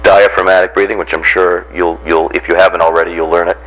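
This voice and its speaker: Voice coach, American male, lowish pitch, informal and joking as he instructs a group. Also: fast talker, rough recording. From Randolph, near Boston, United States. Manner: jocular, animated teacher who acts out examples and puts questions to listeners. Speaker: voice coach giving a workshop.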